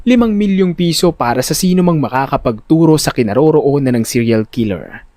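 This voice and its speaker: A man narrating a first-person audio drama in an expressive, suspenseful, scripted storytelling style. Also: medium pitch, fairly quick, dry recording, studio quality.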